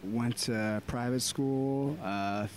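Man, twenties, from Baltimore, casual interview guest, reflective, halting, faint background noise. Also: medium pitch. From Baltimore, USA. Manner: relaxed, reflective, many "uh" pauses. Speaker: podcast guest, DJ.

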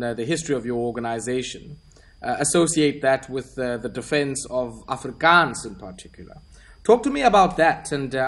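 Male talk radio host, probing but even, mid-pitched. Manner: probing, steady interviewer with fillers.

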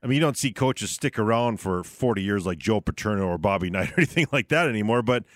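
Guy co-hosting sports talk, kinda low voice, chatty with lots of asides, dry studio mic. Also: lively intonation, fast, a bit bright, no room sound. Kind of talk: casual sports radio chat. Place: radio studio.

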